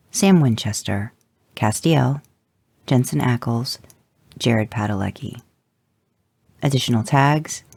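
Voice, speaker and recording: A podcast producer reading fan fiction aloud as a podfic, expressive and voicing the characters. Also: medium pitch, gravelly, no room sound.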